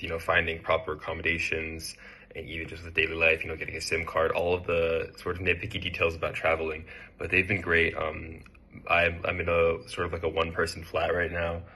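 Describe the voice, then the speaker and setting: A young American man in his twenties, speaking about his internship in a relaxed, hesitant way with frequent fillers. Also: low-pitched, breathy edge. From United States. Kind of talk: casual testimonial.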